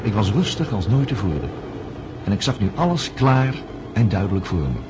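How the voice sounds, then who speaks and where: An actor narrating a radio drama in the first person, speaking in a measured, reflective way. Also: male voice, fairly low pitch, sing-song, noisy background. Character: calm reflective male narrator recalling events. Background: music.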